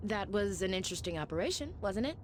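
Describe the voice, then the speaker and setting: Woman acting a lively, earnest young female doctor. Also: mid-range voice, fast, slight background noise, close to an everyday voice. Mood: playful, assertive. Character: spirited, earnest young female surgeon, supportive colleague. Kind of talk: animated video game drama acting.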